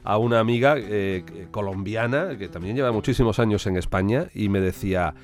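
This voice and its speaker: A man hosting a wine podcast in a lively, chatty way, laughing often. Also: on the low side, a little fast. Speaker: podcast host. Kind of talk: casual radio podcast chat.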